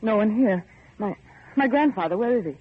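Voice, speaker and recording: An actress playing an anxious, insistent young heiress in vintage radio horror, questioning with pleading, melodramatic urgency. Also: female voice, mid-range voice, animated, moderate pace, husky. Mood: alarmed, bewildered. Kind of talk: 1940s radio horror acting. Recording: noisy muffled old radio recording.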